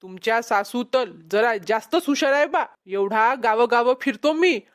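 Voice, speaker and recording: A woman's voice: a little high, expressive, moderate pace, clear, resonant tone, close to an everyday voice. A woman voicing a put-upon village pot peddler in a cartoon comedy, nervous and complaining, with a Vidarbha Marathi accent. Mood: resigned. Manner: whiny, protesting, meekly deferential. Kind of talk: exaggerated cartoon comedy acting.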